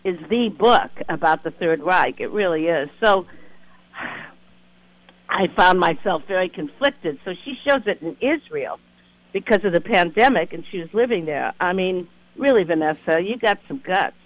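A man's voice: high voice, expressive, moderate pace, a bit bright, no room sound, low-quality recording. A man co-hosting a radio interview, effusive and emotional, with many affirming hums. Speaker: radio co-host. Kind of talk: interview conversation.

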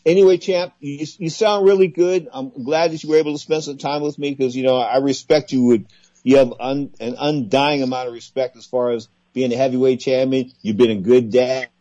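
A man's voice: medium pitch, fairly even intonation, brisk, warm tone, dry recording, lo-fi sound. A man in his sixties hosting a boxing radio show, effusive and sentimental, repeating his phrases for emphasis. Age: 60s. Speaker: radio talk show host.

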